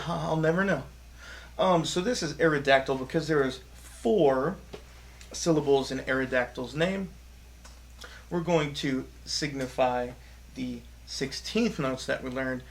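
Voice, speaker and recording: A man's voice: fairly high pitch, creaky. A man teaching a music lesson to children in an upbeat, chatty way, leading call-and-response rhythm chants.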